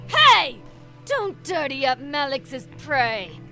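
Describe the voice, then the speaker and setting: A woman's voice: high-pitched, big pitch swings, slow pace, sharp tone, faint music behind, pitched above the performer's own voice, exaggerated voice. A woman voicing a cold female devil kidnapper.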